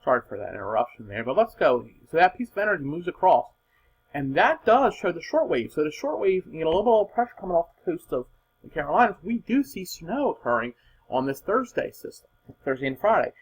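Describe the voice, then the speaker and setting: A man with a husky, rough-edged voice talks through a weather forecast off the cuff. His pitch moves around a great deal as he stresses his points. He sounds like an informal forecaster, emphatic and given to repeating himself. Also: sing-song, quick pace, rough recording. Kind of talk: informal weather model commentary, unscripted.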